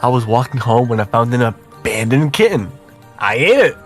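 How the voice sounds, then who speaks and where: A man's voice: mid-range voice, very animated, normal speed, soft background music, natural voice. A man voicing a chatty, affectionate young female letter writer in a visual novel, conversational and self-deprecating. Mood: chatty, sheepish.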